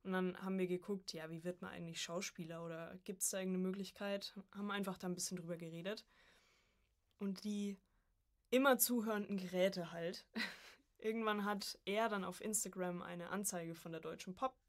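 Young woman, lowish voice, chatting casually. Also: steady tone, moderate pace. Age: early 20s. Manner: chatty, informal storytelling. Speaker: podcast guest, voice acting student. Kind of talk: casual conversational interview.

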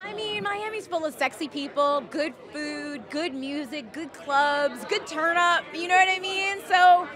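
A woman's voice: very high voice, unhurried, hardly any pauses. A woman in her thirties, an actress, gushing in an outdoor red carpet interview into a handheld mic. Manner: bubbly, gushing. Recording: crowd chatter behind.

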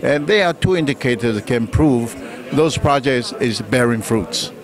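A man in his sixties with a Taiwanese accent, answering press questions in halting, measured phrases into a handheld microphone indoors. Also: medium pitch. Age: 60s. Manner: halting, measured phrasing with pauses mid-sentence. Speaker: trade official being interviewed. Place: indoor press conference room. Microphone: handheld reporter microphone.

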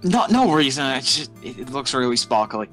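A man doing a wildly animated hot-headed boy. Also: medium pitch, brisk. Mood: indignant. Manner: dramatic, repeats words. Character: hot-headed teenage boy duelist, indignant and obsessive. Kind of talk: improvised roleplay banter. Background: faint music.

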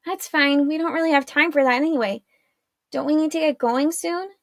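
An earnest teenage girl from a fantasy storybook, eager and a little anxious, as a woman reads her. Her voice sits fairly high and close. The sound is dry and intimate. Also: brisk, dry recording.

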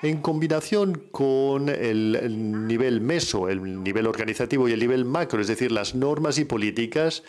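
A man giving a simultaneous interpretation of an academic conference lecture, measured and often correcting himself mid-phrase. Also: mid-range voice, a little mellow. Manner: measured, with frequent self-corrections.

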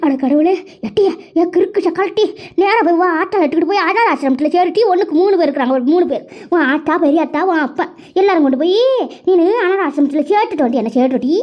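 A woman's voice: very high voice, quick pace. A woman playing a self-pitying older village mother-in-law who laments and curses in a family drama. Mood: wailing. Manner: lamenting, cursing, repeating cries. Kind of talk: melodramatic Tamil village family skit. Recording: dull, muffled lo-fi audio.

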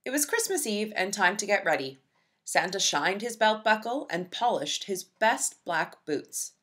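Lady reading a picture book to little kids, really animated, bright crisp sound. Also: medium pitch. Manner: animated, dramatic storytelling with emphasis. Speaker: children's storybook reader. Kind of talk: expressive scripted read-aloud narration for young children.

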